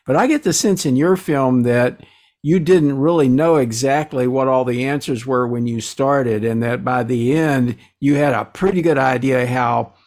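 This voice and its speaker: A man hosting a podcast interview, asking measured, curious questions. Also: medium pitch. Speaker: podcast host.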